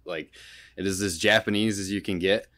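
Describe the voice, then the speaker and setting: A man's voice: low voice, fairly even intonation, moderate pace, bright, forceful tone. A young man chatting casually about video games as a podcast host, in a rambling way full of hedges.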